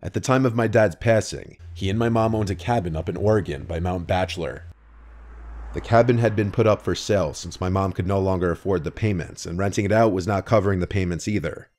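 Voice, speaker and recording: Low-voiced man narrating hushed horror. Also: fairly quick. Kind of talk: scripted first-person horror narration. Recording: soft music underneath.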